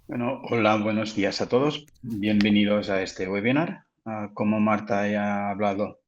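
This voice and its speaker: A man's voice: fairly low pitch, fairly even intonation, normal speed. A Portuguese man, a native Portuguese speaker with a Portuguese accent, presenting a technical webinar carefully, with frequent restarts. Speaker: product manager presenting. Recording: dull lo-fi audio.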